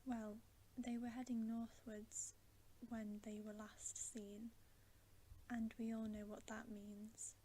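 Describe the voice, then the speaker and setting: A woman's voice: a little high, little pitch movement, dark tone, a little hiss or hum. Woman whispering as a gloomy, homely male storybook beaver. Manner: slow, homely storybook speech. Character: gloomy, knowing old male talking beaver.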